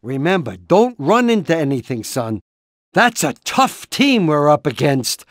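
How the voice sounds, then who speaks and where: A man's voice: fairly high pitch, sing-song, a little slow, no room sound, clean studio sound. A man voicing an anxious, encouraging, portly middle-aged rooster father in a cartoon video game, with a hesitant, rambling, fatherly manner. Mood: reassuring. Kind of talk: animated video game character lines.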